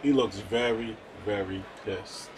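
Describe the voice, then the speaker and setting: A man's voice: on the low side, slow, far from the mic, heavy background noise. A man casually reacting to his own gameplay in offhand, slangy, sweary talk. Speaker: gamer streaming gameplay. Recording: off-mic voice under loud game music.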